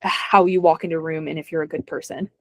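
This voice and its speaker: A woman's voice: low-pitched, fairly even intonation, fast talker, no room sound. A young adult woman chatting as an interview guest, self-deprecating and laughing often. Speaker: guest actor. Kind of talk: casual interview chat.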